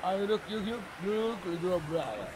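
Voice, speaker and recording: A man's voice: high voice, big pitch swings, moderate pace, rough recording. A man in a blunt, casual exchange outdoors, repeating short phrases, picked up off-mic. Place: outdoors on a beach. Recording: off-mic outdoors amid crowd chatter.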